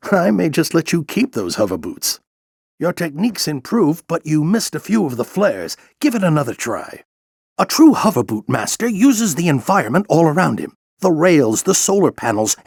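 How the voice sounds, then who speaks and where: A man voicing an aged, furry alien general in a video game, a gravelly, grand and encouraging mentor. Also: fairly high pitch, no echo, studio quality, full character voice. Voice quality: gravelly, theatrical. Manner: grand, exhorting, coaching. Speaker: mentor and military veteran. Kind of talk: video game character acting.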